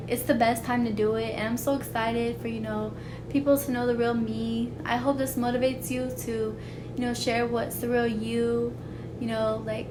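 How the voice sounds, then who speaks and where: Young woman vlogger, soft and childlike, a bit high, earnest and rambling. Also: noisy background. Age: young adult. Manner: earnest, rambling, full of "like" and "you know". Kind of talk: heartfelt personal talk to camera.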